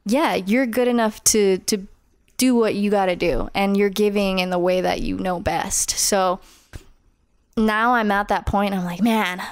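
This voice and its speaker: Young woman, musician guest, smooth resonant voice, dry close sound, chatty and animated. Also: medium pitch, measured pace, dry recording. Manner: animated, acts out her own inner voice. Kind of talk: casual podcast interview.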